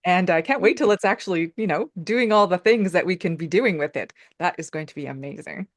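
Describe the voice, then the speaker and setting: An effusive woman hosts a casual chat over a video call. Her pitch swoops widely and she laughs often. The sound is dry and close. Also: lively intonation, a little fast, dry recording. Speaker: host.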